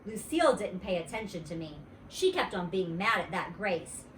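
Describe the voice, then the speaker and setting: A woman's voice: mid-range voice, moderate pace. A woman reading a children's story aloud expressively, in the first-person voice of a child. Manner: expressive, dramatizing dialogue. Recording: roomy echo, distant mic, faint noise.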